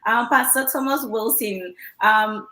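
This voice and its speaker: A woman's voice: fairly high pitch, measured pace, bright, forceful tone, roomy sound. A woman moderating an online panel, asking guests polite questions over a video call, with frequent fillers.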